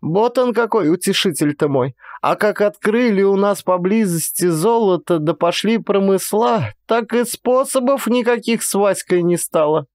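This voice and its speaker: A man voicing a frail, gentle old Cossack mother who rambles in folksy rural speech in a dramatized audiobook. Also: high voice, lively intonation, moderate pace, hardly any pauses, dry recording, clean studio sound, pitched above the performer's own voice. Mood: plaintive recollection. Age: older.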